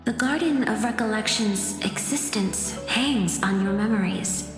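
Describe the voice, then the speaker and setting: An actress voicing a serene, mysterious messenger woman in an anime game, solemn, formal and measured. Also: medium pitch, close to an everyday voice. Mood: calm, grave. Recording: echoey long reverb, loud music.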